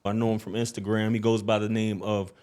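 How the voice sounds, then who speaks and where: Host: man with a very deep voice, relaxed, keeps the talk flowing. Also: brisk. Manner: easygoing, affirming with short responses. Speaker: podcast host. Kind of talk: casual interview conversation.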